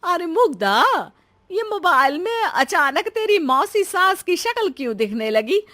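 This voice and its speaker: A woman playing a demanding, nosy elderly mother-in-law in an animated moral story, with a bossy, wheedling manner. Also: very high-pitched, a little slow, full character voice. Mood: querulous.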